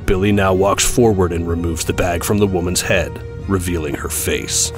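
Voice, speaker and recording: A man with a husky voice narrating a scripted audio drama. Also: low-pitched, fairly even intonation, moderate pace, music in the background.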